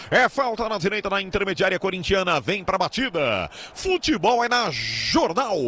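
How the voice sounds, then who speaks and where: High, bright-voiced football commentator. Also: male voice. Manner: excitable, drawn-out key words. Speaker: radio football play-by-play commentator. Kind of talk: live match commentary with sponsor reads.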